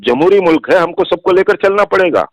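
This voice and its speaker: An insistent man with a Hyderabadi accent explains himself over a recorded phone call. His voice sits a little high for a man and is dry, with no room echo. He argues defensively, restating phrases to drive them home. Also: lively intonation, fast talker. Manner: insistent, repeats phrases for emphasis. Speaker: political activist being questioned. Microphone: telephone.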